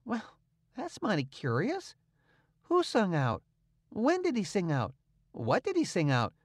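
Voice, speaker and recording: A man's voice: high-pitched, sing-song, dry recording, studio quality, pitched above the performer's own voice. A man voicing a sly, bossy teenage boy who schemes and plays innocent. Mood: mock puzzled. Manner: brash, quick, mock-innocent.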